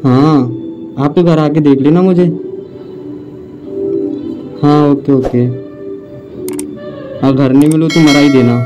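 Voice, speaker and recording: A young man with a high-pitched voice stages a phone-call prank. He complains and argues in a childlike, whiny way. Music plays behind him in the lift. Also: fairly even intonation, slow, pauses often. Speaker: prankster making fake phone calls. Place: inside a crowded metal passenger lift.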